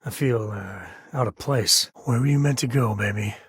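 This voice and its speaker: A male actor playing an easygoing, wry and earnest spacefaring explorer in a video game, with a husky voice and casual, folksy delivery. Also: medium pitch, moderate pace, no room sound, clean studio sound. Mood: reflective, earnest. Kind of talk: scripted game character dialogue.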